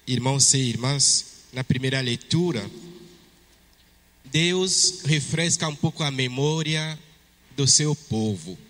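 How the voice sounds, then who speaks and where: A man from Togo with a French-speaking West African accent, a priest reading the Gospel and preaching at Mass in a measured way. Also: mid-range voice, pushed and bright. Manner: slow, measured liturgical delivery. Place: church. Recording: long church reverb.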